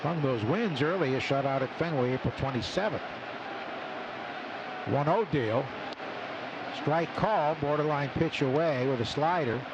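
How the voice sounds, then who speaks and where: A man's voice: a little high, moderate pace, pauses often. A man doing live play-by-play baseball commentary as a radio announcer. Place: open broadcast booth at outdoor ballpark. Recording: noisy outdoor ballpark radio broadcast. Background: loud stadium ambience.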